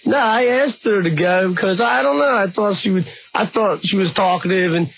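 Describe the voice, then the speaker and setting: A man who is a radio show guest, answering in a halting, mumbling way full of fillers. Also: sits high, vocal fry, no room sound. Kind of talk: radio talk show banter. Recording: tinny phone-like audio with crowd noise.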